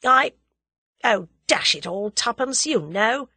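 A woman's voice: medium pitch, very animated, moderate pace, pushed and bright, slightly rough, no room sound, clean studio sound, full character voice. Woman reader as a bossy, emphatic young man. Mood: embarrassed, dismissive. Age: young adult. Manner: emphatic, dictatorial, clipped. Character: gruff, bossy, lovestruck young man adventurer. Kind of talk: audiobook character dialogue.

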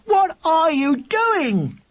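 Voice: medium pitch, very animated, takes it slowly, darkish tone, slightly rough, heavily acted. A narrator voicing a bossy, tired older brother, a boy who explains things with impatient effort in a children's story. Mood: exasperated. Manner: sighing, impatient, laboured explaining.

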